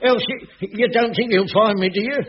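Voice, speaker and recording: An actor playing a nervous, stammering London caretaker in a radio sitcom. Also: male voice, sits high, normal speed, slight background noise, natural voice. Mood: flustered. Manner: flustered, stammering. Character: nervous, tactless working-class caretaker of a block of flats. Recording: dull old radio recording, loud studio audience.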